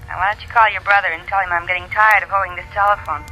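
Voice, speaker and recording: An actress playing a sardonic, brisk, wisecracking city woman on the telephone in a 1940s radio drama. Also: mid-range voice, fairly even intonation, sharp tone, faint music behind, natural voice, rough recording.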